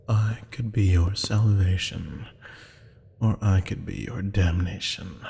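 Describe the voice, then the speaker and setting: A man's voice: on the low side, creaky, dark tone, breathy edge, full character voice. A man playing a teasing, flirtatious, menacing demon captor in an ASMR roleplay, purring pet names and slipping into half-whispers. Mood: smug, persuasive. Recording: long hall-like reverb.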